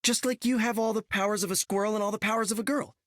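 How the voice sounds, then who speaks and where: A man's voice: high-pitched, fairly even intonation, fast, fairly bright tone, dry recording, studio quality. An actor playing a joking young male web-slinging hero with a playful, quippy manner in a video game.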